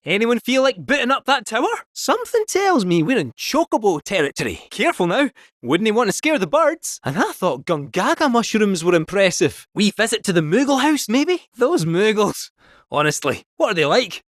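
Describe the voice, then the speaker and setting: A man's voice: extremely high pitch, no room sound, clean studio sound, exaggerated voice. A man voicing a cheeky, chatty male robot cat fortune teller with a lilting Scottish accent, in video game voice lines.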